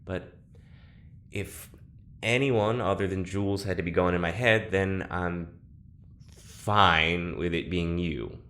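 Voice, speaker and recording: An actor playing a guarded, awkward, reluctant male test subject in an audio drama, speaking in a halting, subdued way. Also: low voice, fairly bright tone. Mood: reluctant, apologetic. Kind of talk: naturalistic audio drama acting.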